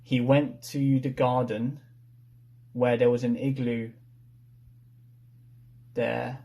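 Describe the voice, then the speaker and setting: A man retelling a story from memory in a halting, unsure manner, piecing sentences together bit by bit. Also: mid-range voice, level tone, gravelly, mellow. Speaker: participant in a story-retelling game.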